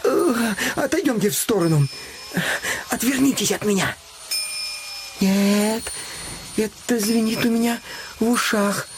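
Anxious, secretive doctor. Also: male voice, high voice, measured pace, pauses often, background noise. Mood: nervous. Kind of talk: theatrical audio play acting.

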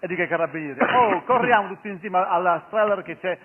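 An actor playing a reluctant, confused Judas who hesitates, protests and repeats himself in a live comic theatre show. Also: male voice, sits high, fast talker, breathy edge. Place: theatre stage, live performance. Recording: tinny, noisy radio recording of stage show.

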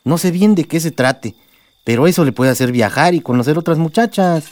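Actor as fairly high-voiced Mexican village father. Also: expressive, a little fast, a little mellow, close to an everyday voice. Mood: reassuring. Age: middle-aged. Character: practical, unflustered village father and husband. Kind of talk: radio drama acting.

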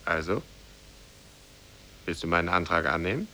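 A man's voice: deep voice, normal speed, bright, forceful tone, slight background noise. A man playing a lazy, naive young man with theatrical expression in a 1950s radio play. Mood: polite, confident.

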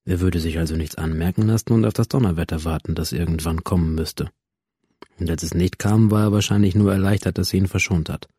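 Bitter young man narrating his past in a radio play, dark soft-edged tone, flat matter-of-fact, very dry studio sound. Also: sits low, fairly quick, husky, no room sound. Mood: matter-of-fact, guarded. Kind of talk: radio drama, introspective first-person narration.

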